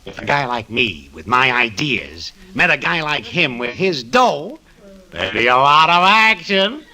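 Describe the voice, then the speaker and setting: An actor playing a slick, cocky American small-time schemer in a 1940s radio comedy. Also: male voice, mid-range voice, big pitch swings, unhurried, bright, forceful tone, exaggerated voice. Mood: wheedling, brash. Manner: slangy, brash, wheedling. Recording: hissy, clicky 1940s radio recording.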